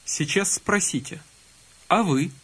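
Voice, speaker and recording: A man's voice: high-pitched, takes it slowly, fairly bright tone, breathy edge. A man narrating a language course in a scripted, instructive way, prompting the listener to listen and repeat.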